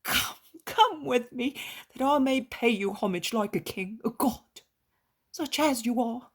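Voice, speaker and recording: A pleading, tearful male innkeeper, as a woman acts him out, pitched high and loud, with a breathy, husky tone. He sounds fervent and swings widely in pitch as he begs. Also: animated, measured pace, full character voice. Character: emotional repentant male innkeeper, middle-aged.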